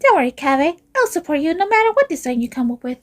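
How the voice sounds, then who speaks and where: Casting: polite young fantasy-game girl, played by a woman, extremely high voice, soft and kindly. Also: very animated, quick pace. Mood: gentle, polite. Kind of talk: amateur game voice-over.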